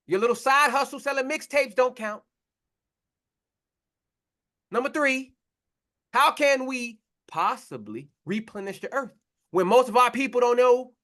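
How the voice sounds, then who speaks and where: A man's voice: extremely high pitch, pushed and bright. A man preaching a confrontational sermon, blunt and mocking, in slangy talk to his listeners.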